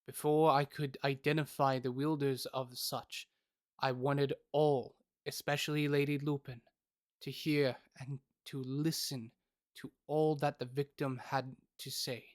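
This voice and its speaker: Man acting grave physician, very even pitch, dry close sound, formal audio-drama narration. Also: dry recording, natural voice. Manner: measured, formal. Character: grave male doctor recounting a troubling case.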